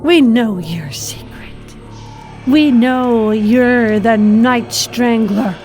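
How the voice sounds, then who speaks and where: A woman's voice: on the high side, very slow, darkish tone, soft background music. A woman playing a vengeful occult summoner in a horror audio drama, solemn and menacing. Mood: cold accusation. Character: devoted, vengeful female occult summoner, coldly accusing.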